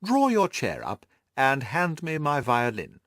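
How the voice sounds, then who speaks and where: Man reading as precise gentleman detective, lively intonation. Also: mid-range voice, a little slow, no echo, studio quality, heavily acted. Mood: wry, reflective. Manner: precise, methodical, didactic explaining. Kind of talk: audiobook character dialogue.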